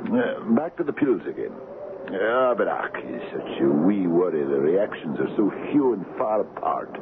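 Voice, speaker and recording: An actor playing a cautious, kindly doctor in a 1970s radio drama, hesitant and measured. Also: male voice, low voice, big pitch swings. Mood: dismissive, cautious. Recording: muffled, hissy old radio broadcast.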